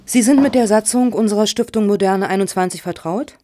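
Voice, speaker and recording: A woman playing a composed, courteous head of a foundation in a satirical radio play, speaking in a formal, measured, officious way. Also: medium pitch, over loud music, natural voice.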